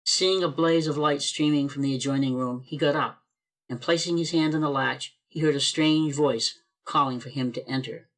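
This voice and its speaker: A woman's voice: sits low, low-quality recording. Woman narrating a church radio play. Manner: measured, expressive storytelling. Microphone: video call.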